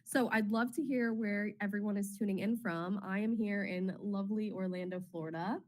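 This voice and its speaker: A woman in her thirties hosting a webinar in a bubbly, chatty way, her voice slightly raspy as she gets over a cold. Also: medium pitch, steady tone, moderate pace. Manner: bubbly, chatty, many ums. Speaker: webinar host, marketing officer. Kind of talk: casual presenting on a webinar.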